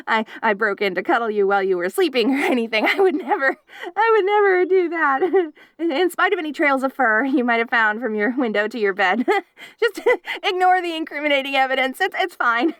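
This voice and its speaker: A woman's voice: on the high side, a bit bright, dry recording, clean studio sound. A woman voicing a clingy, obsessive young wolf girl in an audio roleplay, giggling and stammering as she rambles eagerly. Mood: giggly.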